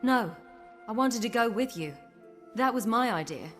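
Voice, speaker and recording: A woman plays a determined young warrior in a fantasy game. Her voice is fairly high and earnest, over soft music. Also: expressive, normal speed, close to an everyday voice. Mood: frustrated. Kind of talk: dramatic video game dialogue.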